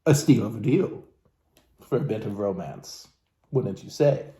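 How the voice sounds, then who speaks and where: A man from Alaska giving a comedic, theatrical book reading as host, florid and mock-genteel, with dramatic pauses and asides to his viewers. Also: lowish voice, lively intonation, dark tone, slight background noise. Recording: roomy, echoey room sound.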